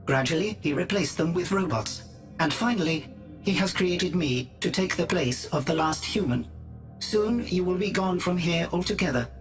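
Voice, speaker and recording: An actor in a radio drama playing a self-assured robot prophet, coolly logical and devout, speaking in a formal, measured, preaching way. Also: male voice, a little high, natural voice. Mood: devout, superior.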